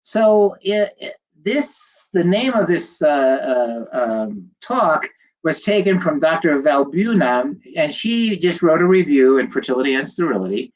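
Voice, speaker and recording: A man's voice: fairly high pitch, sing-song, unhurried, poor audio. An older man speaks with a slight nasal tone from a cold. He talks in a chatty, rambling way, full of ums and uhs. He greets viewers before settling into a medical talk over a livestream computer mic. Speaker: fertility doctor giving a livestream lecture.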